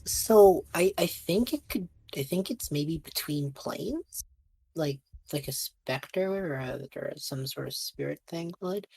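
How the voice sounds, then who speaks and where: A husky woman chatting casually as a player in a tabletop role-playing game. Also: sits low, lively intonation, measured pace, warm tone, dry recording.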